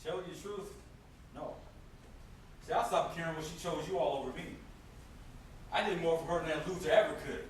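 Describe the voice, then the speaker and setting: A man's voice: on the high side, steady tone, fairly quick, pauses often, close to an everyday voice. A man acting in an amateur stage play as a smug, mocking killer obsessed with a lost lover, with a theatrical delivery. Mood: taunting. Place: indoor stage performance, recorded from a distance. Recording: echoey, noisy room from far off.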